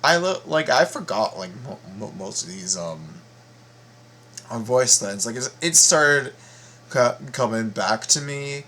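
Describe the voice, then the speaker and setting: A very tired man giving casual reaction commentary, halting and rambling as he repeats his words. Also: medium pitch, lively intonation, bright, forceful tone. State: very tired, half asleep. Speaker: video host reacting to game voice lines.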